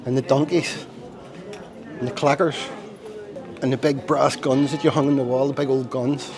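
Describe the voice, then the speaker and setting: A man's voice: mid-range voice, fairly even intonation, moderate pace, a crowd in the background. An Irish man walking through old town streets outdoors and chatting casually to the camera about what he sees, with a chesty, tickly cough. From Ireland. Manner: chatty, rambling, self-deprecating asides. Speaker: travel vlogger. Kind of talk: casual walking-tour commentary. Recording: music bed under the voice.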